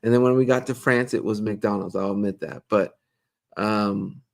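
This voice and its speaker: A middle-aged man co-hosting a casual live Q&A, chatting easily and reading viewer comments aloud with a joking, relaxed manner. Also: lowish voice, moderate pace, creaky. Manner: chatty, jokey, reads viewer questions aloud.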